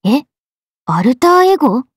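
A woman's voice: high-pitched, very animated, unhurried, husky, no room sound, clean studio sound, heavily acted. A woman voicing a young swordswoman character in a video game. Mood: miffed, surprised. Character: swordswomen: a lively young one and her stoic alter self.

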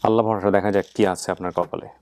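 A man's voice: sits low, fairly even intonation, very fast, over loud music. A man hosting a reunion show, asking questions in an informal, conversational way. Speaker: host of a family reunion show. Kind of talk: informal interviewing.

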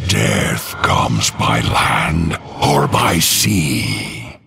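An actor playing a cruel, imperious undead death knight king in a video game, with slow, menacing, commanding declarations in a deep gravelly rasp. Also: very animated, bright, forceful tone, exaggerated voice. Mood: imperious, solemn. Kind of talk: video game hero voice lines.

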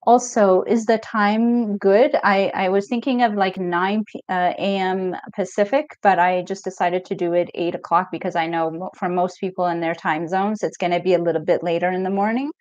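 A middle-aged woman from Ethiopia, a mental health coach hosting a live stream Q&A in a relaxed, chatty way, reading viewer comments aloud with many fillers. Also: on the low side, normal speed, hardly any pauses, dry recording. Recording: dull lo-fi stream audio, dry.

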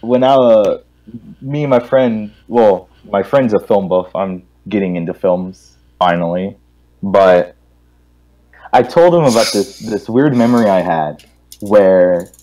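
A young man chatting casually and telling stories in a halting, repetitive way. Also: fairly low pitch, darkish tone, rough recording. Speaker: guest co-host on a gaming stream. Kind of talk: casual group chat, rambling storytelling.

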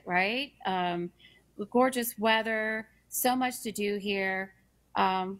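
A woman's voice: mid-range voice, a bit bright. A woman in her forties leading a nonprofit, talking upbeat and promotional with many ums over a video call. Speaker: nonprofit leader. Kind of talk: interview answers.

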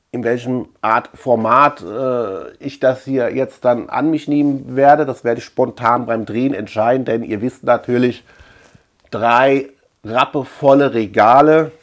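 A man's voice: mid-range voice, unhurried. A man chatting casually as a video host, rambling colloquially and repeating words for emphasis. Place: small room at home lined with shelves.

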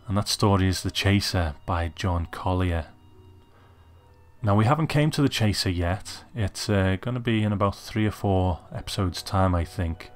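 A man's voice: low-pitched, moderate pace. A man giving a conversational introduction as a podcast host. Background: faint music.

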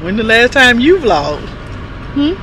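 A woman's voice: a little high, very animated, a little slow, noisy background. A middle-aged woman vlogging casually to a phone camera, rambling and chatty, swearing offhand and laughing often. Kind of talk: casual vlog chat to camera.

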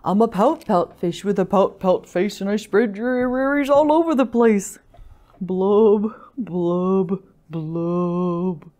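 A woman's voice: mid-range voice, slow, mellow, lightly acted. A woman voicing a glum, mopey male fish from a children's picture book, in a sing-song rhymed lament. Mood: glum, resigned.

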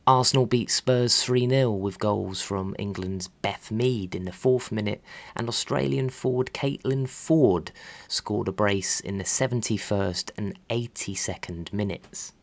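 Male narrator, fairly low pitch, scripted sports-history read, measured and factual. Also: gravelly. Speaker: narrator of a football history channel.

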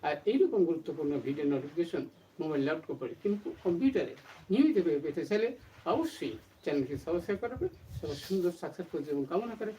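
Animated, bright-voiced woman teaching. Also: on the low side, quick pace. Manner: asks questions and answers them herself. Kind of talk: grammar lesson to camera. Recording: distant mic, faint background noise.

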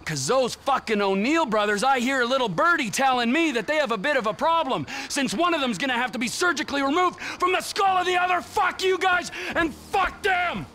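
Volatile, menacing criminal, wildly swinging pitch. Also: male voice, expressive, fairly quick, hardly any pauses, slight background noise, exaggerated voice. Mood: furious. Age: middle-aged. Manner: menacing, profane, swinging from quiet to yelling. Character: volatile middle-aged male criminal drug dealer, menacing and ranting. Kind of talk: video game cutscene acting.

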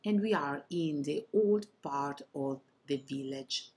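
A woman from Italy with an Italian accent narrates a property tour as an estate agent, in halting non-native English phrasing. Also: low voice, dark tone.

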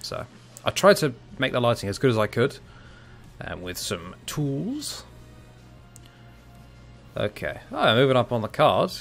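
Gravelly young man streaming a game. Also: medium pitch, very animated, measured pace, pauses often, soft background music. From half Cypriot. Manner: chatty, reacts loudly to the game, laughs, chats with viewers. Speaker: gaming streamer. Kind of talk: casual live-stream commentary. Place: small room at home.

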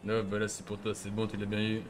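A man plays a crime boss in casual online roleplay, speaking fast in a fairly low voice. He orders people around in slangy, sweary language. There is some noise behind him. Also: completely flat delivery. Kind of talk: casual improvised game roleplay.

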